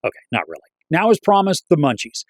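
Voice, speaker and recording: A man's voice: a little high, wildly swooping pitch, quick pace, no echo, studio quality. A middle-aged man hosting a review show, delivering a scripted, articulate and wryly opinionated critique. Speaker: film reviewer and channel host. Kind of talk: scripted movie review to camera.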